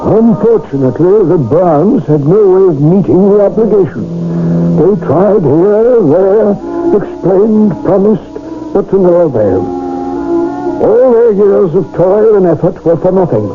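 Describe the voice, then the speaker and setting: A man narrating a 1970s radio drama in a deliberate, theatrical storytelling manner. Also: sits high, animated, phone-quality sound, music in the background. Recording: thin old radio broadcast.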